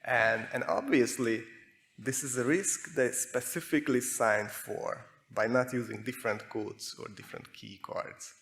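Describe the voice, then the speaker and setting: A man with a Hungarian accent giving a prepared technical presentation, speaking in measured short phrases with pauses. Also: medium pitch, creaky, a bit bright. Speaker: conference speaker, security researcher.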